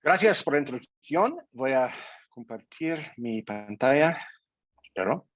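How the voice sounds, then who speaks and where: A man speaking Spanish as a non-native speaker, giving a careful, deliberate seminar presentation over a video call with some hesitation. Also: mid-range voice, animated, no room sound. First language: not Spanish. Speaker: invited speaker. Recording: dull lo-fi online call audio.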